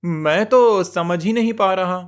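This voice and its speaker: A man's voice: high-pitched, unhurried. A man voicing a poor, simple young goatherd in a cartoon story, earnest and easily amazed.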